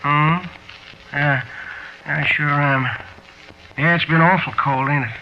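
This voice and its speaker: An actor playing a slow-witted older American panhandler in a 1940s radio drama, pleading and shivering, with a hesitant, vague manner. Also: male voice, fairly high pitch, steady tone. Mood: cold, stubborn. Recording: noisy, muffled 1940s radio recording.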